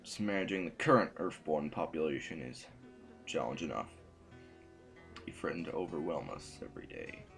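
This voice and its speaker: Casting: man as stern, embattled ruler for audio drama, imperious and formal, animated pitch, faint music behind. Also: normal speed, vocal fry, full character voice. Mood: grim, weary.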